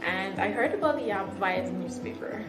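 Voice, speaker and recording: Guyanese woman over loud guitar music. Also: mid-range voice, lively intonation, moderate pace. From East Coast Demerara, Guyana. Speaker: sales clerk giving testimonial. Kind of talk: spoken testimonial. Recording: roomy echo under music.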